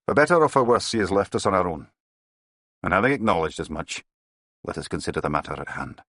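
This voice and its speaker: A man voicing a stern male police inspector with a Scottish accent, speaking in a grave, measured way in a storybook reading. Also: low voice, very animated, a bit bright, husky, no room sound, studio quality, heavily acted.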